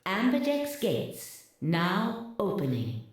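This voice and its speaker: A woman voicing a female computer announcer who makes slow, measured announcements. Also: mid-range voice, wildly swooping pitch. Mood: calm. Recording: echoey game audio with music.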